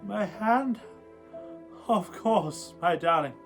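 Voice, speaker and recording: An actor playing a dying, delirious lover in a radio play, speaking tenderly in halting gasps. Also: mid-range voice, lively intonation, soft background music. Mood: tender, weeping.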